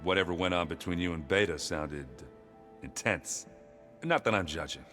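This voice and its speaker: A man playing a gruff, loyal, hard-drinking warrior in a video game, talking in a casual, halting, joking way. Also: sits low, bright, forceful tone, in a large hall, soft background music. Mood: teasing. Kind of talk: video game cutscene acting.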